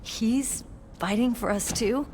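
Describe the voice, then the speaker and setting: A woman's voice: a little high, takes it slowly, a little mellow, a little hiss or hum. An actress voicing a tender, dramatic young female soldier, the hero's love interest in a video game. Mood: tender, concerned. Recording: music behind the voice.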